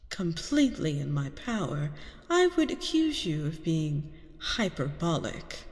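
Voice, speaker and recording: A woman's voice: deep voice, heavily acted. Lady doing a seductive vampire queen for asmr, arrogant n teasing, swoopy intonation, big echoey hall reverb. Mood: smug, probing. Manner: teasing, commanding, theatrical, drawn-out delivery. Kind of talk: ASMR roleplay. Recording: long reverberant hall sound.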